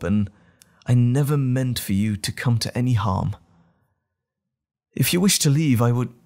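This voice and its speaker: Man acting troubled gentle doctor, slow pace, mid-range voice, hesitant, earnest, formal, audio drama. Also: mid-range voice, vocal fry, heavily acted. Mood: hesitant, grateful. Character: gentle, troubled, apologetic doctor with a wild alter ego.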